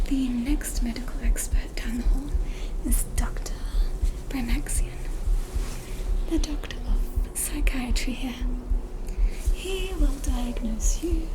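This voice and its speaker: A woman with an Australian accent playing a polite, reassuring spooky hospital doctor in an ASMR roleplay, in a soft breathy whisper. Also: sits high, low-quality recording. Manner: reassuring, unhurried.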